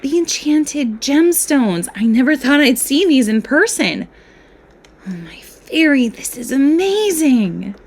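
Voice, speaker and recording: A woman acting a playful, nerdy, excitable fairy student with a dramatic storytelling manner in an amateur voiced roleplay. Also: high-pitched, slow pace, heavily acted. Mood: amazed, excitable.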